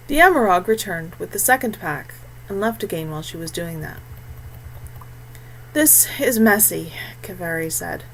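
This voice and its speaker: A woman's voice: fairly low pitch, fairly bright tone, slight background noise, low-quality recording. Female fantasy audiobook narrator. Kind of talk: scripted fantasy story narration.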